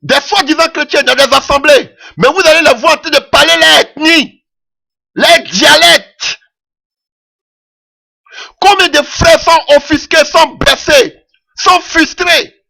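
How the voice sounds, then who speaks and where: A man's voice: very high voice, slow, gravelly, long pauses, bright, forceful tone. A man preaching a sermon in French that is not his mother tongue, impassioned and punctuating his points with "Alléluia".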